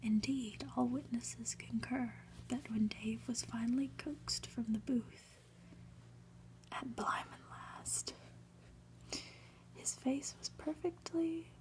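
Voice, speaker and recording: A woman reads a story in a soft ASMR whisper, taking her time over each phrase. Her delivery is hushed, breathy and deliberate, with a crisp, bright edge to the sound. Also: a little high, rough recording. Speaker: ASMR reader.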